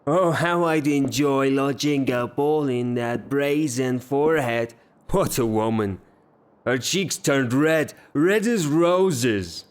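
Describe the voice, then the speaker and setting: An actor playing a hot-tempered, blustering rustic gentleman in a theatrical audio drama, declaiming his lines. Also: mid-range voice, lightly acted. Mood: smitten, admiring.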